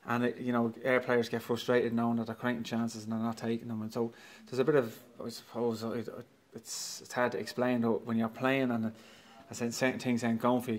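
An Irish football manager in his forties giving a matter-of-fact post-match interview in a clubhouse trophy room, talking into a handheld mic. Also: medium pitch. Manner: matter-of-fact, repeats himself, frustrated but upbeat. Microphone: handheld mic held by interviewer.

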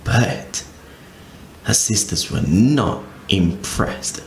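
A man reading a children's picture book aloud as a storyteller, in an expressive, very animated way. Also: lowish voice, extremely slow. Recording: echoey room with faint ambient noise.

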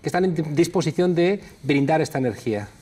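A man's voice: on the high side, animated, brisk, darkish tone, husky. A middle-aged man hosting a TV studio interview, asking conversational, leading questions. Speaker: TV interview host. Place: TV studio desk.

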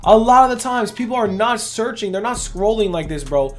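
A young man with a voice going hoarse, hosting a casual gaming video in hyped, rambling talk full of "literally" and "bro". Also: high-pitched, expressive, brisk, soft background music. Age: young adult. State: losing his voice. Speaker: gaming channel host. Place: indoors at a gaming setup, face cam.

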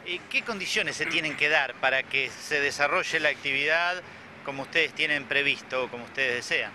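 Reporter guy, sharp bright voice, slow careful questions, outdoors. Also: on the high side, slight background noise. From Argentina. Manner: measured questions with hesitations. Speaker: reporter, interviewer. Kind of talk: local TV interview questions.

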